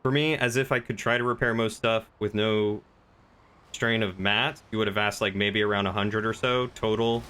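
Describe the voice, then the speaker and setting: Male game streamer, somewhat low and very clean-toned; casual, polite, slightly hesitant improvised delivery. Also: steady tone, normal speed, bright, forceful tone, smooth.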